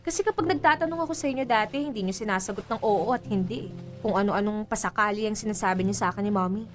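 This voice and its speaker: A woman's voice: mid-range voice, quick pace, lightly acted. A woman playing a frank, cheerful 21-year-old daughter in a conversational radio drama, with a Filipino accent and a candid, teasing, reassuring manner. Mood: earnest, probing. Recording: music bed under the dialogue.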